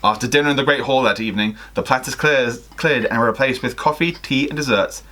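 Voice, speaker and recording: A man's voice: mid-range voice, fairly quick, bright, forceful tone. A young man reading a story aloud expressively, with dramatic emphasis and the odd self-correction. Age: young adult. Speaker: guest reader on a livestream. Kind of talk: expressive fan fiction story reading with casual asides. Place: small home room with sloped ceiling.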